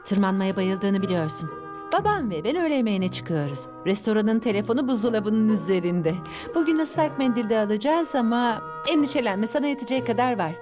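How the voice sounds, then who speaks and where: Actress as worried fussy mom in a cartoon, highish voice, music playing behind. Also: female voice, lively intonation, a little fast, close to an everyday voice. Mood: anxious. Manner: fussing, instructive. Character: fussy, worried mother of young children. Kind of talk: Turkish cartoon dub acting.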